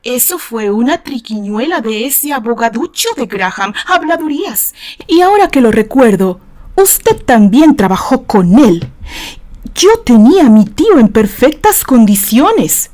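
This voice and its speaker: A woman acts out a shifty male witness in a courtroom audio novel. He is nervous and evasive, hedging defensively in a fairly high, mellow voice. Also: big pitch swings, measured pace. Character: shifty, nervous crooked man on the witness stand.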